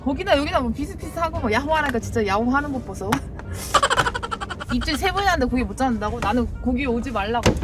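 Brash high-voiced woman with Jeolla accent. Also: animated, fast. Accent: Jeolla Korean. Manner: brash, teasing, bickering with viewers. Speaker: fishing boat captain and live streamer. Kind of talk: casual live-stream chat. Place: on a boat at sea, outdoors. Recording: loud music over the voice.

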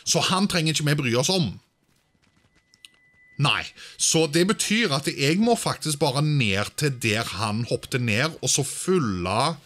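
A man's voice: on the high side, animated, fairly bright tone. A man doing casual let's-play commentary, thinking aloud and sprinkling in English exclamations. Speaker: gaming video host.

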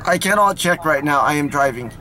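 A man in his thirties voicing a simple, earnest foreign man in halting, repetitive broken English on a prank call. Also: a little high, expressive, sharp tone. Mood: reluctant. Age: 30s. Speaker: prank caller, video host. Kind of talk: prank phone call improvisation. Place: inside a car. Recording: car interior with faint noise.

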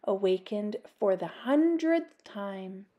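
A woman, reading a children's storybook aloud in an expressive, deliberate way. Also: medium pitch, lo-fi sound. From Canada. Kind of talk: animated storybook read-aloud.

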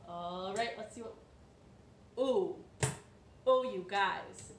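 A young woman presenting a children's storytime read-aloud in a playful, exaggerated, expressive way. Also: medium pitch, slow. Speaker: children's librarian storytime host. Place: living room at home. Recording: roomy echo, some background hiss.

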